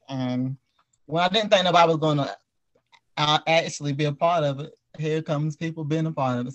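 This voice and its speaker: A male podcast host with an American accent speaks in a fairly high voice. His style is casual and conversational, as in a group chat among friends. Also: fairly bright tone, highs cut off.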